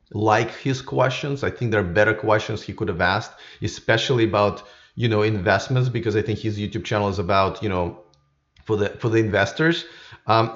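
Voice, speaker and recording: A man's voice: medium pitch, muffled recording. A man hosting a live stream with casual, unscripted commentary, rambling and often repeating his words as he goes. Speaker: YouTube live stream host.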